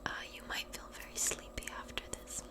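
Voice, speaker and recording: A woman doing a soft-spoken, whispered ASMR role play as a modern witch, hushed and often repeating words rhythmically. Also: fairly quick.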